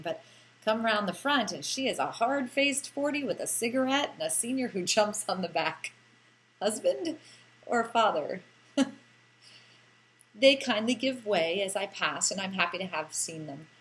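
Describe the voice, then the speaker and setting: A woman's voice: mid-range voice, poor audio. A woman narrating a first-person story like an audiobook, with a theatrical, lilting delivery and lingering phrases.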